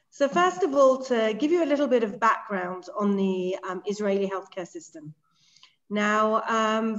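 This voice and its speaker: A middle-aged woman with an English accent presents a lecture online, speaking in a clear, organised way with frequent ums. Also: medium pitch, muffled recording. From England. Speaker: medical director giving a webinar lecture. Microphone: video call.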